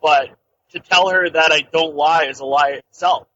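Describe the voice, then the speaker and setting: A man's voice: fairly high pitch, fairly even intonation, moderate pace, pushed and bright, no room sound. A man calling a radio show, making a hesitant, casual confession over the phone. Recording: dull lo-fi phone call.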